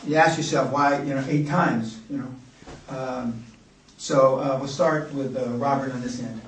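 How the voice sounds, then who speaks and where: A man's voice: medium pitch, steady tone, a little slow, a little hiss or hum. Man, seminar host, brash, profane, distant, roomy echo. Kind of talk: blunt seminar talk. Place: seminar room indoors. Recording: echoey room from a distance.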